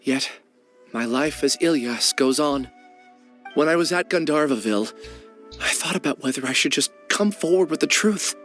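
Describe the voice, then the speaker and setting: An actor playing a remorseful young man in a game, speaking softly and hesitantly with an apologetic tone. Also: on the high side, expressive, normal speed. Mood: regretful. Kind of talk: game voice acting. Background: soft game music.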